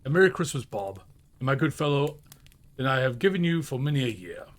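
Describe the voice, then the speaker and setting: Old reformed miser for a storybook, played by a man: gruff, emphatic, gleeful underneath, very animated intonation. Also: medium pitch, brisk, lightly acted. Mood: jubilant. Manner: emphatic, growling when feigning sternness. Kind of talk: storybook character voice.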